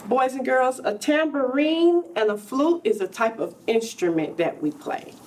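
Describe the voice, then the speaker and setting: Lady teacher doing storytime for little kids, slow n very sing-song up and down, mic kinda far. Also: high-pitched, animated, darkish tone. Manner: instructive, addresses the children directly, explains words simply. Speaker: preschool teacher. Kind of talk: storybook read-aloud for young children with explanatory asides.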